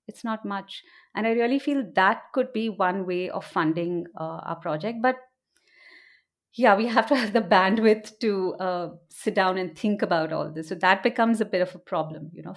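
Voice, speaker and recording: A woman's voice: mid-range voice, vocal fry. A woman from India with an Indian accent, answering interview questions as an audio producer in a conversational, explanatory way, often adding "you know".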